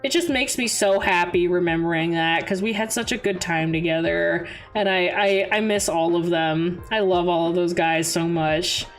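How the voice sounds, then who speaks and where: A young adult woman chatting casually on a livestream as its host, expressive and giggly as she tells stories and answers viewers. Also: fairly low pitch, moderate pace, few pauses. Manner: giggly, animated storyteller with expressive exclamations. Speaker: VTuber streamer, host. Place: indoor livestream. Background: background music.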